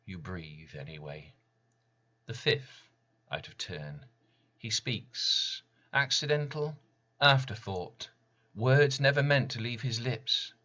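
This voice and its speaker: A man giving a dramatic reading of his own poem in solemn, deliberate short phrases, with slightly muffled sound. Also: on the low side, lively intonation, bright, forceful tone. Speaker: poet reading own work. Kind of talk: dramatic poetry recital.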